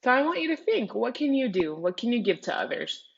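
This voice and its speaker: A woman's voice: fairly high pitch, sing-song, brisk, vocal fry. Woman teacher in a dull lo-fi recording. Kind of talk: read-aloud and lesson for children.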